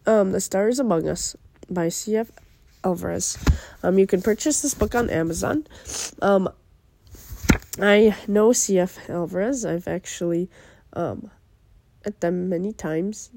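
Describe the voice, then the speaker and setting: A young woman with a stuffy cold, speaking in an earnest, halting way. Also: medium pitch, warm tone, a little hiss or hum. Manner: earnest, halting, with fillers and restarts. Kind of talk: personal reflection and poetry reading.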